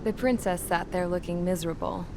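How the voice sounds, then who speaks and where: A woman's voice: fairly low pitch, fairly even intonation, brisk, background noise, close to an everyday voice. A woman playing a tough, cynical, plain-spoken character who narrates and talks in a video game drama. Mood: grim, matter-of-fact. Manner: plain-spoken, matter-of-fact. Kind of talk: game cutscene narration and dialogue. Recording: music under the voice.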